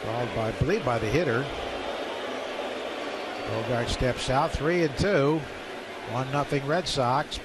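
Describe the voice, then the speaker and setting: A man's voice: mid-range voice, a little slow, long pauses. A man doing live radio play-by-play of baseball as an announcer, speaking in clipped phrases. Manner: clipped phrases, rising to excited calls on big plays. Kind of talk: live radio sports commentary. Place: ballpark broadcast booth. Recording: live stadium broadcast, loud background noise.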